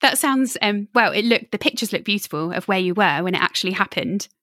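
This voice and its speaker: A woman interviewing a guest in a relaxed conversation, affirming and encouraging as she listens. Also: mid-range voice, fairly quick, no room sound, studio quality.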